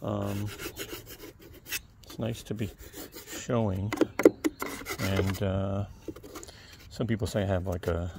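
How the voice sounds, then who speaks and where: A man talks in a relaxed, rambling way to his viewers while working outdoors. His voice is soft and a bit low with a gravelly edge. The close camera picks up clear background noise. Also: slow, long pauses. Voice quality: soft, calm voice. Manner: chatty, rambling asides to the viewer. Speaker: video creator. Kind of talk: casual how-to talk while working. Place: outdoors on a lawn. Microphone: camera held close, point of view.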